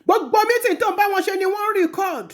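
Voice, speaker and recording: A woman's voice: very high-pitched, lively intonation, fast talker. A woman with a Nigerian accent delivering a confrontational monologue, scolding and taunting someone with heavy emphasis. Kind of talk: confrontational monologue addressed to an absent person, mixing two languages.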